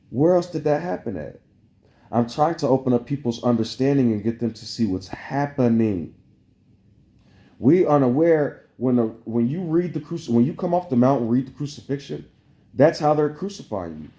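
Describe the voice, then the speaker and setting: A man's voice: mid-range voice, mellow. A man delivering a meandering, preachy spiritual monologue to camera, full of "like" fillers. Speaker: vlogger. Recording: roomy echo.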